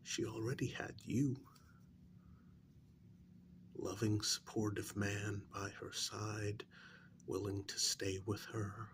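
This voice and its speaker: A man playing a calm clinical researcher in an ASMR role-play, speaking softly and gently in a breathy, creaky near-whisper with deliberate pauses. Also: fairly low pitch, background noise. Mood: calm, probing. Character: calm clinical male researcher gently interviewing a werewolf patient.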